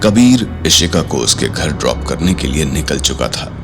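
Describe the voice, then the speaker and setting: Very deep, dramatic male narrator. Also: sing-song, moderate pace. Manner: suspenseful, dramatic. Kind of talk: dramatic scripted story narration. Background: background music.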